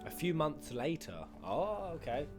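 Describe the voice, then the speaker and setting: Lively, high-voiced male game streamer. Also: measured pace, music in the background. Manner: playful, sweary, theatrical. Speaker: streamer, gaming host. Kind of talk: casual reaction commentary on game cutscenes.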